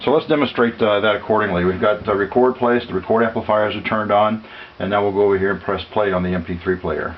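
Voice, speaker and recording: Low-voiced man, halting product demo. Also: steady tone, a little hiss or hum. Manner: hesitant, halting delivery with frequent uhs; technical, matter-of-fact. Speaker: seller demonstrating equipment. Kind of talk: unscripted product demonstration. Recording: dull lo-fi audio, music behind. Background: music played from demonstration equipment.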